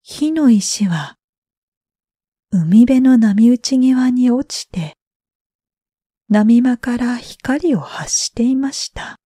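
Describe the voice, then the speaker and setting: Woman reading sleepy bedtime tales, soft breathy mellow voice, super gentle, dry recording. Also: fairly high pitch, expressive, dry recording. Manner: gentle, drawn-out, breathy phrase endings. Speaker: storyteller, freelance announcer. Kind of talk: bedtime story narration for sleep.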